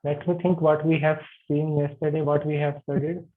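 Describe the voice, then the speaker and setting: A man's voice: on the high side, little pitch movement, moderate pace. A man with an Indian accent teaching an online yoga anatomy class, friendly and asking his students questions. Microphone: video call. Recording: tinny video call audio.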